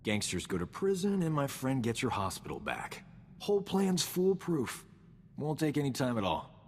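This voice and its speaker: An actor playing a cocky, flirtatious roguish combat medic in a video game, with a smug, suave, breezy delivery. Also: male voice, mid-range voice, sing-song, normal speed, breathy edge, in a large hall. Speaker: combat medic companion character. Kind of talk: video game dialogue acting.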